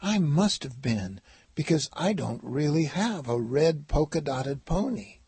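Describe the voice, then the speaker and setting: Casting: dreamy storybook little girl, played by a man, breathy and husky, unhurried, music underneath. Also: fairly high pitch, big pitch swings, darkish tone, dry recording, exaggerated voice. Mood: puzzled. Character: dreamy little girl, sad then eager.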